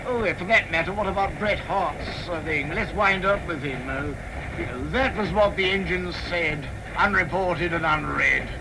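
A man's voice: on the high side, creaky, noisy background. A British man musing conversationally as an interviewer on an old 1960s tape recording.

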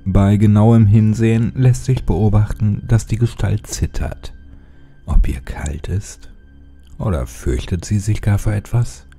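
A man who hosts and narrates horror stories, speaking in a husky, low voice with a measured delivery. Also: dark tone, faint music behind. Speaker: horror story narrator and channel host. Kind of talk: casual channel address, then dramatic horror audiobook narration.

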